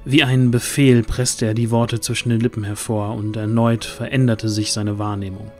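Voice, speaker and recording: A man's voice: on the low side, flat delivery, normal speed, a little mellow, faint music behind. A man narrating a scripted fantasy audio drama in a dramatic storytelling manner.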